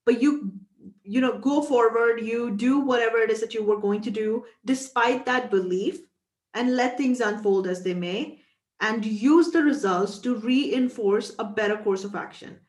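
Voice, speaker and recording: A woman's voice: fairly high pitch, unhurried, a little mellow, roomy sound. A woman hosting a podcast interview, summarizing her guest's points and asking structured questions. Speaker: podcast host.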